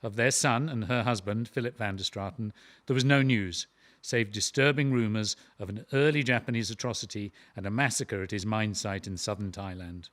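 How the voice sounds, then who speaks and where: A man giving steady, scripted historical narration for a podcast drama. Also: on the low side, moderate pace. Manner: steady storytelling delivery.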